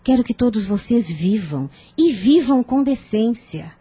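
A woman playing a firm, persuasive older aunt in a radio soap opera, genteel, formal and measured. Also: on the high side, animated, warm tone, husky, dry recording, heavily acted. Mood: decisive, coaxing.

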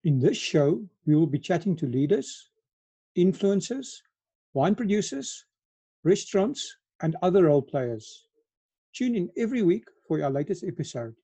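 Hesitant male podcast host, higher voice. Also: big pitch swings, normal speed, mellow, breathy edge. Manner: hesitant, restarts sentences. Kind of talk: interview hosting.

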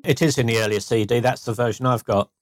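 A man co-hosting a podcast, talking in a measured, hesitant way during a casual discussion. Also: mid-range voice, steady tone, no echo, clean studio sound. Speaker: podcast co-host.

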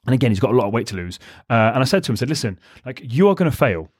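A male podcast host and fat loss coach, talking solo with emphatic, repeated punchlines and a slightly croaky voice. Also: medium pitch, very animated, rapid-fire, dry recording, studio quality. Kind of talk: solo motivational podcast monologue.

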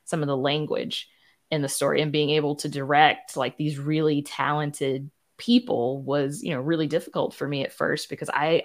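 A woman speaking as a podcast guest in a casual interview, candidly explaining things and often saying "you know". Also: low-pitched. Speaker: podcast guest, audio drama producer.

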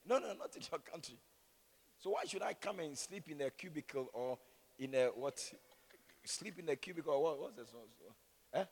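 Ghanaian man, preacher. Gravelly, fairly high voice, opinionated and rhetorical, repeating himself for emphasis. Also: moderate pace. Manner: animated, rhetorical questions, repeats phrases for emphasis. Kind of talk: sermon with conversational, opinionated asides. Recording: echoey hall with long reverb.

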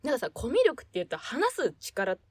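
A woman co-hosting a casual podcast chat among friends, telling stories in an animated way and acting out imagined lines. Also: a little high, brisk, crowd noise. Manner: animated storyteller, acts out imagined lines and sound effects. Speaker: podcast co-host.

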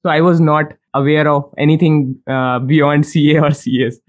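A man's voice: on the high side, level tone, moderate pace, narrow, tinny audio. A man from India hosting an interview, talking in a friendly, chatty way and laughing between phrases. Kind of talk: casual interview chat.

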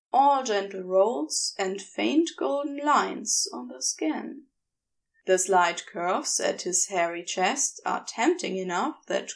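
A woman reading a story aloud as scripted, expressive narration in a measured, deliberate way. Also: a little high, creaky. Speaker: audiobook narrator of fan fiction.

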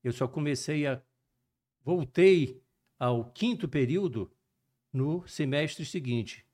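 An older man with a mixed Minas Gerais and Rio Brazilian accent, a cardiologist guest telling his story in a measured, detailed, professorial way. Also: a little high, a little mellow. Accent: mixed Brazilian Portuguese, Minas Gerais and Rio de Janeiro. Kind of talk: relaxed storytelling interview.

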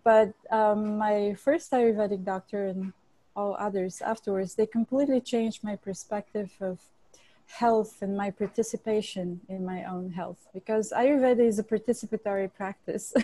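A woman's voice: medium pitch, steady tone, moderate pace, warm tone, no room sound, low-quality recording. A woman from Bulgaria, a chef and cookbook author, answering interview questions earnestly with frequent restarts.

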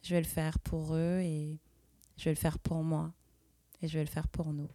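A woman in her thirties hosting a podcast, speaking in an intimate, earnest and deliberate personal monologue. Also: sits low, flat delivery. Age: 30s. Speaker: podcast host.

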